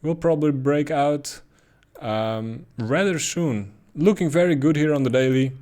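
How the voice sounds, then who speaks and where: A man's voice: fairly high pitch, a little slow, a little mellow. A man with a Dutch accent giving casual, thinking-aloud commentary on price charts, with frequent ums and uhs. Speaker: crypto chart analyst.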